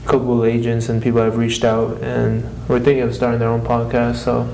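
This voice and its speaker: Male co-host for casual podcast chat, soft dark timbre, informal, faint noise in the background. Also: medium pitch, completely flat delivery, a little fast, creaky, reverberant, poor audio.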